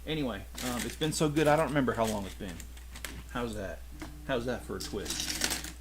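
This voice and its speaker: A man's voice: mid-range voice, animated, moderate pace. Middle-aged man, casual live-stream host, rambling, self-correcting, chatty with viewers, background noise and typing. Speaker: toy channel host. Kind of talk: casual live-stream chat while building. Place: home, rural.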